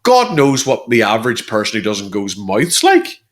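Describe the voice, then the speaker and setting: A man's voice: fairly low pitch, huge pitch swings, normal speed. Chatty, wry man. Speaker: podcast co-host. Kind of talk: casual banter.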